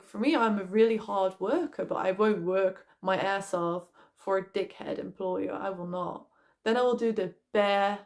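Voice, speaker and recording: A young woman with a loud voice, talking bluntly and casually about her life, swearing now and then and filling with "like". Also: medium pitch, vocal fry. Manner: frank, blunt, swears casually, many "like" fillers. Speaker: vlogger. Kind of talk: candid personal vlog talk.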